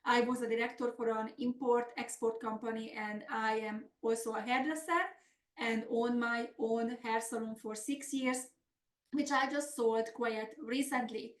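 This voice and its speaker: A woman's voice: fairly high pitch, steady tone, unhurried, reverberant. A middle-aged woman from Hungary with a Hungarian accent, hosting a business coaching webinar in an earnest, upbeat, semi-scripted style.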